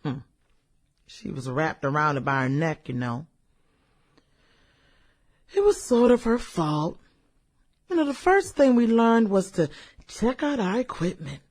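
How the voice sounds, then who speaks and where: Woman acting husky, brooding, vengeful woman reminiscing. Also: lowish voice, big pitch swings, a little slow, pauses often. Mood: cold, smug. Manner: musing, hesitant, chuckles and hums between thoughts. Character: brooding, vengeful woman reminiscing over dead high school classmates. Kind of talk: radio drama acting, conversational monologue.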